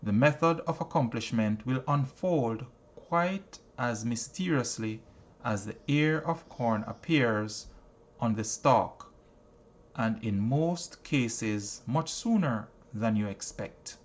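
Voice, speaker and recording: A man narrating a self-help audiobook in a measured, earnest manner, with deliberate phrasing and frequent pauses. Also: medium pitch, expressive.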